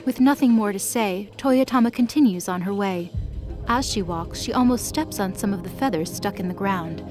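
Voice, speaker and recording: Woman, story narrator, medium pitch, scripted dramatic narration, music clearly behind. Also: moderate pace, darkish tone.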